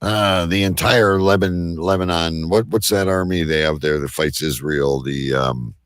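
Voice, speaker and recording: A man's voice: deep voice, normal speed, fairly bright tone, clear, resonant tone, no room sound, studio quality. A man hosting a casual live talk show, teasing and prodding his guests with joking questions.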